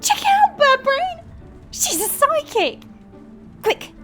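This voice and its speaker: A woman's voice: very high voice, big pitch swings, takes it slowly, fairly bright tone, soft background music, full character voice. A woman playing a brash, playful, kind-hearted young sidekick in an audio drama. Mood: excited, cheeky. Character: cheeky, excitable, kind-hearted young female sidekick named Piper. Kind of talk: audio roleplay character acting.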